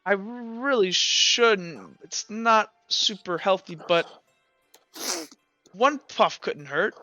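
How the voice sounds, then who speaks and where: Man playing Kilroy, high-voiced hesitant teenage boy. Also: lively intonation, slow pace, pushed and bright, no room sound, lo-fi sound, soft background music, heavily acted. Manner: hesitant, stammering. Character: Kilroy, earnest cautious orphaned teenage boy with a dog.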